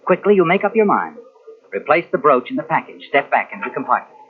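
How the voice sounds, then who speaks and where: An actor playing a knowing, insinuating narrator in a 1940s radio suspense drama, speaking dramatically as if taunting the guilty hero. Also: male voice, a little high, big pitch swings, quick pace. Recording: tinny old radio recording from cassette.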